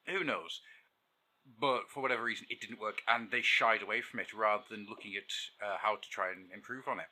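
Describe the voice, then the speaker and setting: Low man with a very bright, sharp voice. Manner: chatty, reflective, laughs easily. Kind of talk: casual podcast conversation.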